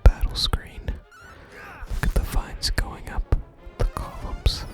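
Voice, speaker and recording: Someone whispering asmr game commentary, breathy and unhurried, bit of game music behind. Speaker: ASMR gaming video host. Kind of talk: whispered ASMR gameplay commentary, reading game text aloud.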